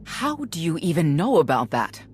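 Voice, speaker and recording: A woman's voice: deep voice, big pitch swings, moderate pace, a little mellow, a little hiss or hum. An actress playing a stern, skeptical mature female knight in a video game, curt and doubtful.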